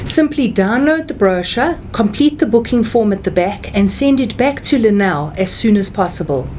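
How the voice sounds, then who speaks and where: A middle-aged South African woman explaining something step by step in a measured, instructional way, as a seminar speaker. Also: medium pitch, lively intonation, a little mellow, slight background noise. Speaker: tax seminar speaker and writer. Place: small indoor office or meeting room.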